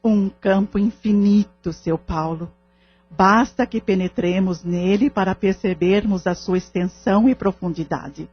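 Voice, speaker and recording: A woman's voice: mid-range voice, a little slow, husky. A Brazilian woman playing a humble, devout medium in an audio drama, teaching in a patient, formal way. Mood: earnest, instructive. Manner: didactic, formal. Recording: dull lo-fi audio with music underneath.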